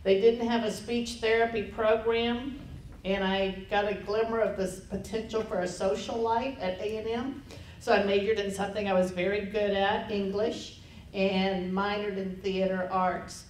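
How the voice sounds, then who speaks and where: A woman in her sixties from Texas, telling anecdotal stories at a lunch lecture and laughing at her own tales. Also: medium pitch. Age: 60s. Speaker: lecture panelist. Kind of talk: storytelling talk at a lunch lecture. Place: lecture room. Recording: echoey room, distant mic, faint noise.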